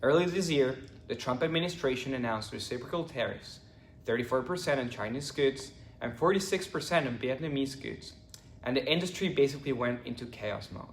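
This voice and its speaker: A young man from Ecuador, a finance student, giving a prepared class presentation at a steady pace with the odd stumble. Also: medium pitch, a little fast, echoey room, a little hiss or hum, poor audio. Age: young adult.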